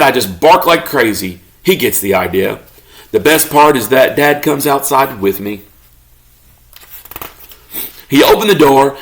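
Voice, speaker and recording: A man reading a children's story aloud expressively, as a parent does to a child, pausing to ask questions. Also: medium pitch, a bit bright. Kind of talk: expressive children's read-aloud, narrating as a pet dog.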